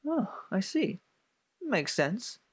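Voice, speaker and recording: A man's voice: high-pitched, very animated, takes it slowly, husky, no echo, heavily acted. A man playing a flirtatious, smarmy would-be investor with a suave manner in an audio drama. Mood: polite, sceptical.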